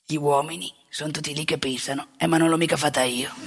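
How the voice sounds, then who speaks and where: A woman playing an outspoken, rebellious elderly male priest in a colloquial theatrical monologue, wry and impassioned, with a husky, gravelly voice. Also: low-pitched, steady tone, fast, a crowd in the background. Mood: wry, confiding. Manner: colloquial, confiding, swinging into emphatic indignation.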